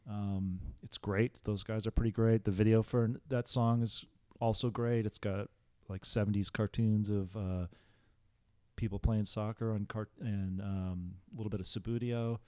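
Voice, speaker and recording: A man co-hosting a casual podcast, talking in a halting, self-deprecating way. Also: on the low side, steady tone, mellow, smooth. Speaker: podcast co-host.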